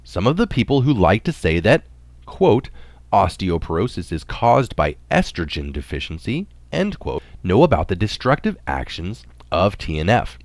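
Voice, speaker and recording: Low-voiced man reading, very animated intonation. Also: no room sound. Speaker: reader of a health article. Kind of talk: scripted article narration, read aloud.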